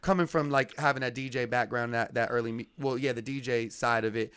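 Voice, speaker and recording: A man's voice: mid-range voice, steady tone, fairly quick, a bit bright, clear, resonant tone, no room sound. Male radio host, American accent, clean resonant voice, laid-back slangy interviewer, dry recording.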